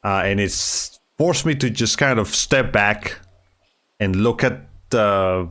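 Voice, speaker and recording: A man speaking as a streamer, talking in a soft-spoken, halting way with many fillers and restarts, and sounding slightly nasal as he recovers from a cold. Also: lowish voice. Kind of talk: reflective casual monologue.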